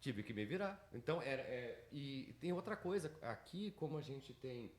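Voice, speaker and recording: A man telling a lively anecdote on a school podcast. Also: mid-range voice, normal speed, echoey room. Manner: lively storytelling, animated quoting. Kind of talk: anecdotal casual chat.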